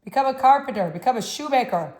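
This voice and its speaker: Casting: a woman doing a gruff, commanding old male painter, faint Russian accent, loud and pushy. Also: on the high side, lively intonation, measured pace, voice pushed up. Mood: exasperated. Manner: forceful, insistent, commanding.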